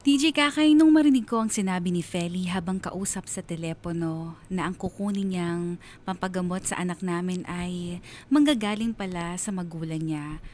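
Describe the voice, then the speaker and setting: A man's voice: high voice, moderate pace, darkish tone, slight background noise, close to an everyday voice. A performer narrating as an anxious, wounded husband telling his story in a letter on Filipino radio. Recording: music bed behind voice.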